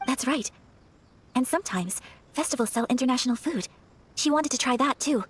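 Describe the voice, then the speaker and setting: A woman playing a refined, earnest young noblewoman in a video game, speaking politely and formally in a gentle, hesitant way. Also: high voice, expressive, normal speed, slightly rough. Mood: reflective. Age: young adult. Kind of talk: video game character acting. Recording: faint music behind the voice.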